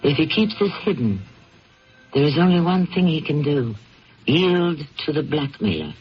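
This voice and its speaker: Old muffled radio narrator, american guy, slightly high voice, grave and measured, bit of music. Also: very animated, husky. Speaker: host and narrator. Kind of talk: scripted dramatic radio narration. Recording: dull old radio broadcast.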